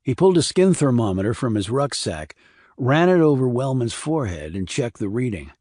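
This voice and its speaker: A male narrator reads a crime thriller in a measured, serious manner. His pitch is medium, and the recording is very dry, with no audible room. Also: big pitch swings, a little mellow, no room sound. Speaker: audiobook narrator.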